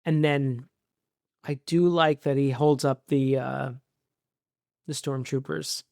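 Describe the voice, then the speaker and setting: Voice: mid-range voice, fairly even intonation, slow pace, gravelly, darkish tone, no echo. Podcast co-host chatting casually, dry close sound. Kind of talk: casual movie discussion chat.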